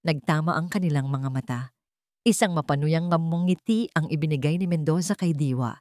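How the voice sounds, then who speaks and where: A woman narrating a scripted drama story, phrasing it dramatically and deliberately in short pieces. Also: sits low, darkish tone, dry recording, clean studio sound.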